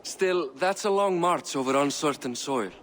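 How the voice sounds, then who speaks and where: Guy doing a wry sharp advisor, highish voice, faint noise under. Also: normal speed, close to an everyday voice.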